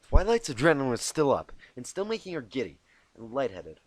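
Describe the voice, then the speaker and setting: A man narrating a story expressively as an amateur reader, informal and quick to correct himself. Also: medium pitch, very animated, no echo, low-quality recording. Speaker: amateur fan fiction narrator.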